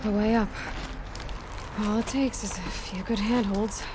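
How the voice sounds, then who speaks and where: A woman playing a curious, determined young adventurer who muses quietly to herself in a video game. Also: mid-range voice, steady tone, unhurried, over music, close to an everyday voice. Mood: determined musing. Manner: hushed, understated self-talk.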